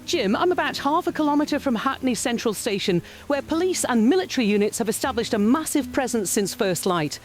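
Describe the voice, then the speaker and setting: Actress as field correspondent, animated delivery. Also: female voice, on the high side, fairly quick, few pauses, faint music behind, natural voice. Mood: tense, grave. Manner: dramatic, urgent. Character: alarmed female field correspondent reporting from danger. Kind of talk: dramatised live radio report.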